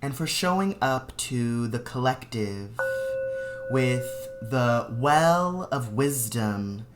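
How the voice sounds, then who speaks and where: A meditation guide speaking soothingly and unhurriedly in short phrases with long pauses, leading a guided meditation. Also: medium pitch, lively intonation, loud music behind the voice.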